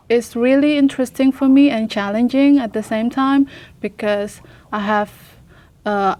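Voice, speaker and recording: A young woman from Indonesia, an international student answering press questions in halting English with many fillers and restarts. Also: mid-range voice, a little mellow. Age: young adult. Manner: hesitant, many fillers, searches for words.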